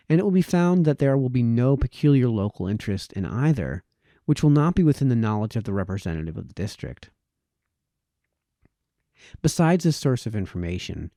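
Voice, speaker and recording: A man narrates a formal essay in a mellow, relaxed voice of average pitch. His delivery is deliberate and expository, with lively rises and falls that pick out key phrases. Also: no room sound, clean studio sound. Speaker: reader, narrator. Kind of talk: reading a formal historical essay aloud.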